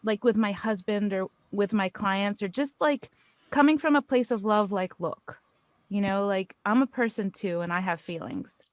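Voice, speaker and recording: An American woman speaking as a podcast guest in a casual, earnest and expressive conversational style. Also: medium pitch, moderate pace, dry recording. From United States.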